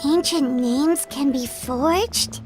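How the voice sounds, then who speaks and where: Squeaky childlike fairy companion. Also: female voice, takes it slowly. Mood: inquisitive. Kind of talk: video game voice acting. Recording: music under the voice.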